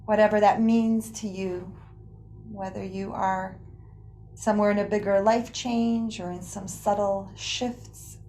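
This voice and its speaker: A woman leading a guided relaxation practice in an unhurried, soothing manner, pausing often between phrases. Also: mid-range voice, steady tone, warm tone, a little hiss or hum. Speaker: guided meditation teacher. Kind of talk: guided yoga nidra relaxation.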